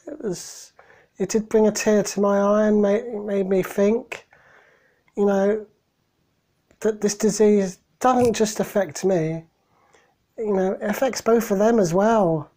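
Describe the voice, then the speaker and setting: A middle-aged man, hoarse from a cold, talking haltingly and emotionally into a webcam in a small room at home. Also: sits high, fairly even intonation, dark tone. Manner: halting, emotional, many restarts. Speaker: vlogger. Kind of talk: personal video diary. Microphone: webcam at desk.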